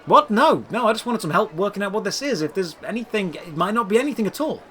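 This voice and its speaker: A man's voice: high-pitched, animated, quick pace, pushed and bright, pitched above the performer's own voice. A man playing a polite, curious adventurer in audio drama dialogue, earnest and conversational. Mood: flustered.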